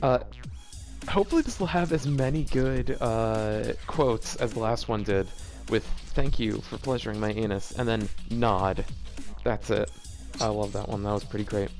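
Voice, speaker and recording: A man's voice: fairly low pitch, normal speed, darkish tone, faint music behind. A man hosting a casual read-aloud of fan fiction, rambling and breaking off into joking asides. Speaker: host reading fanfiction aloud for a video series.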